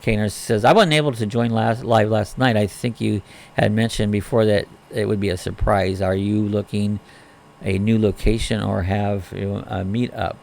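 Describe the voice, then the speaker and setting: A man with a hoarse, crackly voice from a respiratory illness, hosting a casual morning live chat, greeting viewers by name and reading their comments aloud in an easygoing, rambling way. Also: fairly low pitch, fairly even intonation, normal speed, darkish tone.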